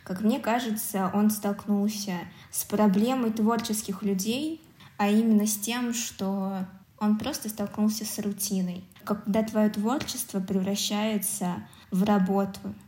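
A woman's voice: mid-range voice, fairly even intonation, unhurried, warm tone. A woman co-hosting a podcast, giving her opinions on a film in casual, explanatory talk, recorded with a roomy echo. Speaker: podcast co-host. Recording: roomy, echoing sound.

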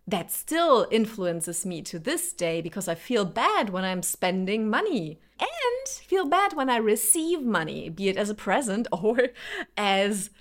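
A woman's voice: medium pitch, very animated, a little slow. A woman, a native speaker of Lithuanian and Russian, talks in a mid-range voice. She delivers a personal, motivational monologue in an earnest way. She addresses the listener directly and leans on rhetorical questions. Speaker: spiritual self-help speaker.

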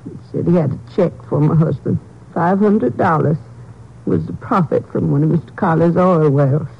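An actress playing an ashamed, destitute old widow in a radio crime drama, halting and despairing in plain folksy speech. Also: female voice, deep voice, gravelly, slightly rough, full character voice. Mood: subdued recounting. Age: older. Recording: muffled vintage radio drama recording.

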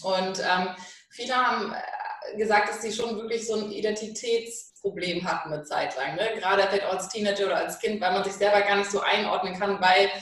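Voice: mid-range voice, fairly even intonation, a little fast, a bit bright. Host rattling off long questions, lots of "ne?", distant echoey sound. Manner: curious, asks long winding questions with frequent "ne?". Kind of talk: casual conversational interview. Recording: very roomy sound, distant from the mic.

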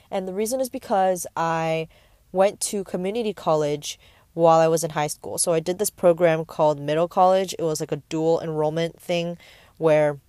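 A woman's voice: on the low side, darkish tone, no room sound, clean studio sound. A nineteen-year-old woman from the Bay Area hosting a casual solo podcast, rambling with lots of fillers and getting easily sidetracked. She records in a parked car on earbud mics. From San Francisco Bay Area. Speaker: podcast host. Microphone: wired earbuds mic into a tablet.

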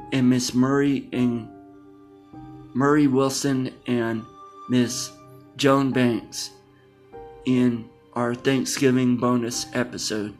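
A man hosting a podcast, giving an informal introduction in halting, short phrases. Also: medium pitch. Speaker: podcast host.

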